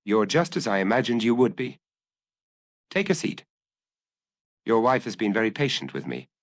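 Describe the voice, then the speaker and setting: A man's voice: on the low side, huge pitch swings, moderate pace, vocal fry, no echo, studio quality, exaggerated voice. A man voicing a troubled young Frenchman in an audiobook, melancholy and hesitant as he explains himself earnestly. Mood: nervous, apologetic. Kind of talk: dramatised audiobook dialogue.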